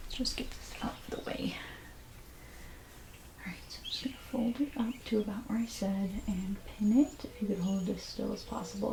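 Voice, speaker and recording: A woman doing a soft-spoken ASMR role play as a dressmaker, gentle and unhurried, her breathy voice often slipping into a whisper. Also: medium pitch, a little hiss or hum. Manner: gentle, unhurried, reassuring explanations to a client. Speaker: ASMR creator role-playing a dressmaker at a fitting.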